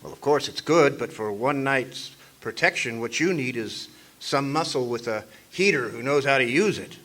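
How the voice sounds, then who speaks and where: An actor playing a wry, deadpan hardboiled private detective, narrating and trading lines in an old-time radio noir style. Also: male voice, mid-range voice, moderate pace, natural voice. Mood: dry banter. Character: wisecracking hardboiled 1940s private detective, narrating his own case. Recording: faintly noisy live stage recording.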